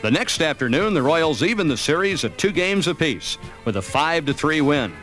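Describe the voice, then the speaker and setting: An American man narrates a sports recap in a fairly high voice with animated pitch swings. The delivery is scripted storytelling, with music playing faintly behind him. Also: normal speed. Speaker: documentary narrator.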